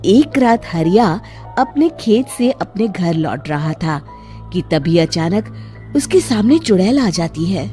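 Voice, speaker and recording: A woman narrating a Hindi cartoon moral story in an expressive storytelling style. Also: fairly low pitch, slow, faint music behind.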